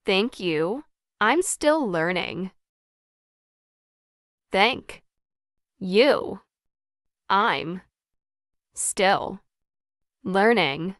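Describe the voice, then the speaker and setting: Very slow, careful woman drilling English. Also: medium pitch, expressive, no echo, clean studio sound. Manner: careful word-by-word dictation. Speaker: English teacher. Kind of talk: slow model sentences for repetition drills.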